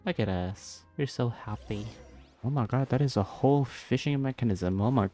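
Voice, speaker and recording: A man hosting a casual game playthrough, reacting in short, soft-hearted remarks. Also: mid-range voice, moderate pace, soft background music. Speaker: gaming video host.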